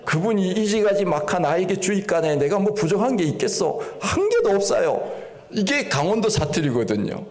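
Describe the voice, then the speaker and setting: A middle-aged pastor from Korea's Gangwon Province with a slight Gangwon accent, preaching a sermon in a folksy, storytelling way with rising emphatic peaks. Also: male voice, sits high, sing-song, normal speed.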